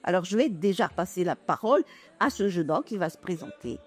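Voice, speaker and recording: A woman's voice: on the low side, expressive, brisk. A woman hosting a web TV interview in a gallery, asking encouraging questions with faint music behind. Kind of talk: street interview at an event. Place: art gallery.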